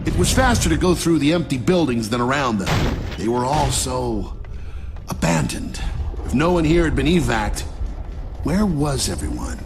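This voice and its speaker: Military game narrator, soldier, matter-of-fact, lively pitch movement, loud score behind. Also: male voice, moderate pace. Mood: grave, reflective. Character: male soldier recounting a failed mission in a report. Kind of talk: first-person narration in a military action game. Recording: loud music under the voice.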